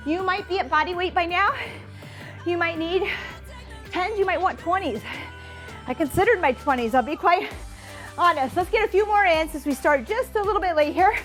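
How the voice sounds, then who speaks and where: A high-voiced woman leads a workout as a fitness instructor, counting and cueing moves. She is chatty and encouraging, slightly stuffed up, with faint music in the recording. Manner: chatty, encouraging, self-deprecating asides. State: stuffed-up nose.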